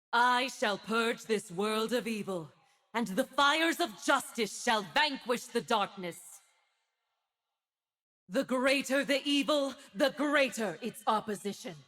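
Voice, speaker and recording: A stern celestial warrior woman in a fantasy game who passes judgment on evil. She speaks slowly and with grandeur, at a middle pitch. A light ethereal effect gives the voice a holy sheen. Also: a bit bright. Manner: solemn, grand declarations. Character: stern righteous winged divine female judge of evil. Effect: subtle ethereal effect on the voice. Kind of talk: fantasy video game voice lines.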